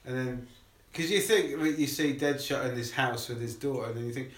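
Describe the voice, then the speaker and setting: A man's voice: mid-range voice, brisk, fairly bright tone. A man co-hosting a casual podcast, rambling and acting out movie moments in silly voices. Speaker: podcast co-host. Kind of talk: casual chat. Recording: echoey room.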